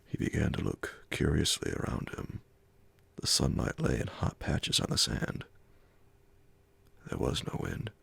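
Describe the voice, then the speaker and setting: A man reading classic horror fiction as an ASMR audiobook, in a hushed, measured, breathy and gravelly voice. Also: a bass voice, wildly swooping pitch, dry recording. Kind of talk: ASMR audiobook scripted narration.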